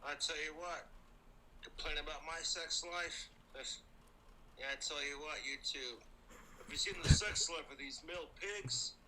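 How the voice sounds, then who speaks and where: Bright, blustery man in a rant clip. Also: a little high, moderate pace, slight background noise, rough recording. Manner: blustery, indignant ranting. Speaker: online video ranter. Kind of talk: ranting monologue.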